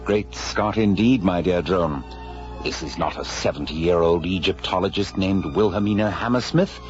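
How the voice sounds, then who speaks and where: An actor playing a shrewd detective in a radio drama, deliberate and grandly deductive in manner. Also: male voice, low voice, lightly acted. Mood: triumphant, deducing. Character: shrewd, theatrical male detective lecturing his colleague. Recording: dull radio recording, music behind.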